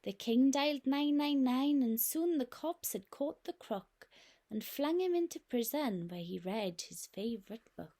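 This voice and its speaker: A nursery teacher reading a picture book aloud to young children in a gentle, expressive way. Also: female voice, sits high, a little slow.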